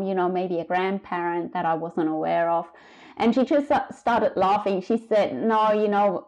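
A woman in her thirties from Switzerland speaks as an interview guest about her own book. She talks in a reflective, unhurried way, pausing on fillers as she gathers her thoughts. Her voice sits in an ordinary middle pitch. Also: a little mellow, rough recording. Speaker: author guest. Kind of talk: casual interview conversation.

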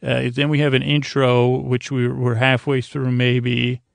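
A man with a creaky voice hosting a bedtime podcast, rambling in a halting, lulling and soothing way to help listeners sleep. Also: mid-range voice, completely flat delivery, no room sound. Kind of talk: rambling, meandering monologue for falling asleep.

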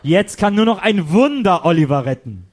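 A man's voice: high-pitched, sing-song, normal speed, darkish tone, a little hiss or hum. A man narrating a comic radio play in a scripted, mock-dramatic storytelling manner.

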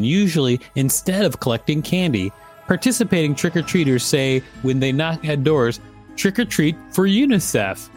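A male podcast host reading an article aloud in an irreverent, teasing way. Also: medium pitch.

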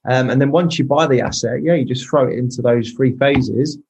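Man, 30s, casual guest, repeats himself, mid-pitched voice. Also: brisk, a little mellow. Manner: explains step by step with many restarts. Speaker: property investor guest. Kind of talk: casual podcast chat.

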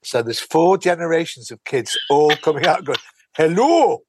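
A man co-hosting a comedy podcast, telling animated anecdotes and doing quick comic voices. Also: fairly high pitch, husky, dry recording. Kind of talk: casual chat.

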